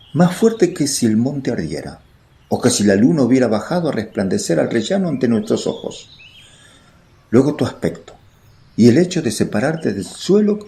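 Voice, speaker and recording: An awestruck, fearful apostle in a religious radio drama. His mellow voice has a gravelly edge and swings widely in pitch as he earnestly pours out his heart. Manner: emotional, earnest, exclamatory.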